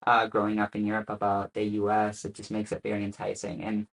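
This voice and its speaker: A man's voice: on the low side, a little fast, gravelly, low-quality recording. Young man telling his story. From Spain. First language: Spanish. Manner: relaxed, hesitant with frequent "uh" fillers and soft laughs. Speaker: guest. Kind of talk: casual interview chat.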